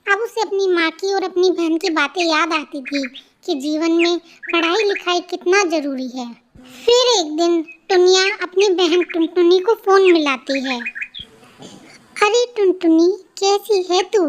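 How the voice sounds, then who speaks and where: A woman's voice: very high voice, moderate pace, a little hiss or hum. A woman storyteller reading scripted narration for a children's story.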